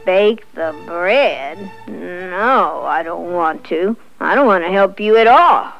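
Blunt, animated storybook duck voiced by a woman. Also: lowish voice, slow pace, vocal fry, full character voice. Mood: stubborn refusal. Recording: dull lo-fi sound with faint music.

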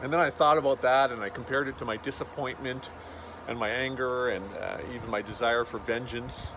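A man in his forties giving an informal, reflective devotional message to camera like a pastor, in a halting, earnest way. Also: medium pitch, fairly even intonation. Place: outdoors beside a road and small wooden chapel. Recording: outdoor recording with loud vehicle noise. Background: loud outdoor ambience, passing vehicles.

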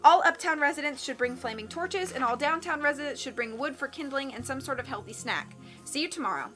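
Woman playing a stern, sharp-voiced town elder. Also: high voice, a little fast, soft background music. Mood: officious. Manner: pompous, commanding. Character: stern, officious town elder quoting rules.

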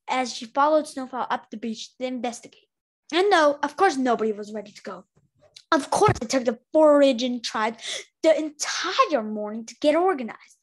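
A woman with a high voice reads a story aloud. Her narration is expressive but unpolished, with occasional stumbles and repeated words. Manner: stumbles over words and rereads them. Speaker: storybook reader.

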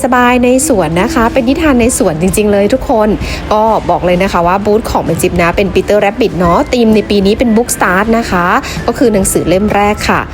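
A woman's voice: medium pitch, normal speed, few pauses, noisy background. A woman in her thirties, a mother, chatting to viewers in a polite, upbeat voice-over about a children's event. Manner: chatty, addresses viewers as everyone, polite ending particles. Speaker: vlogger and mother. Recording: voice-over over loud background music. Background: background music.

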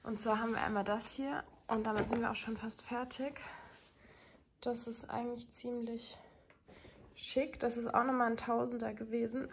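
A woman's voice: on the high side, slight background noise. A young woman chatting casually to the camera as a vlogger at home, rambling a little with fillers. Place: apartment living room and kitchen.

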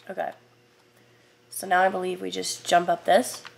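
A young woman with a raspy, hoarse voice, just getting over a sore throat, chatting excitedly through a let's-play of a game she has never played. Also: on the low side, normal speed, heavy background noise. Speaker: gaming YouTuber. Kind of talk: casual let's-play commentary.

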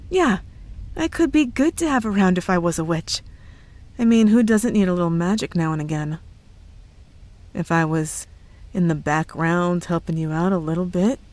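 A woman playing a slow-talking, coyly menacing witch girlfriend. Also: mid-range voice, darkish tone. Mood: coy, defensive, then sweetly teasing. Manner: teasing, coy, sweetly threatening. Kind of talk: ASMR roleplay monologue.

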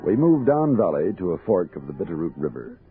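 Plain-spoken captain, narrow old radio sound. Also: male voice, low-pitched, sing-song, fairly quick, slightly rough, exaggerated voice. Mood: narrating. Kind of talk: 1960s radio drama acting. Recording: band-limited old radio, music behind.

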